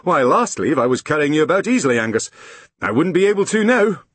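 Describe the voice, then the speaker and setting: A man's voice: on the high side, sing-song, fast talker, a bit bright, husky, no room sound, voice pushed up, heavily acted. A man voicing a hearty sailor father home from sea, in a storybook reading. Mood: warm.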